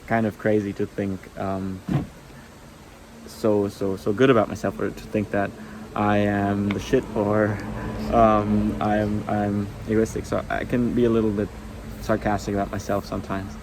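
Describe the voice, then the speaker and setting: A man's voice: lowish voice, flat delivery, a little slow, background noise. A young man with a German accent, a German native speaker, talking casually to camera with many ums and restarts. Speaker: vlogger.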